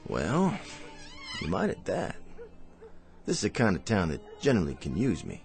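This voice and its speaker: An actor playing a laconic, sly traveling stranger in an Old West radio drama, speaking wryly with an American accent. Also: male voice, sits low, huge pitch swings, moderate pace, darkish tone, soft background music, heavily acted. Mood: sly, calm.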